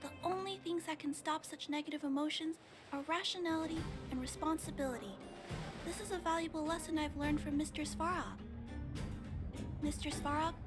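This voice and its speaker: A woman voicing a gentle, earnest young girl in a video game, polite and sincere. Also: sits high, lightly acted. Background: game music.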